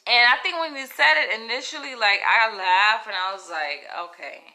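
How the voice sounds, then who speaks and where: A woman with a Southern US accent talks to camera in a piercing, very bright voice. She tells her story frankly and with lots of animation. Her speech is loose, casual and sprinkled with profanity. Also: a little high, normal speed, low-quality recording. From Florida, US. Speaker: comedian.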